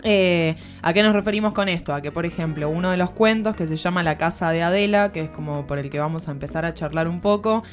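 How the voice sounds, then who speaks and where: A woman's voice: fairly low pitch, fast talker, few pauses, faint music behind. A woman with a Buenos Aires area Argentine accent giving a conversational book commentary at a studio microphone, reflective and informal, searching for words as she explains. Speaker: literary columnist on a radio show. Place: radio studio.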